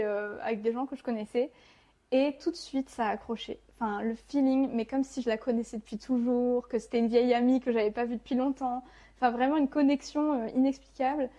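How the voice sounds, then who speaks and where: Higher-pitched young Frenchwoman telling her story. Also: mellow. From France. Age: 20s. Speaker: podcast guest. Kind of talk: casual personal storytelling.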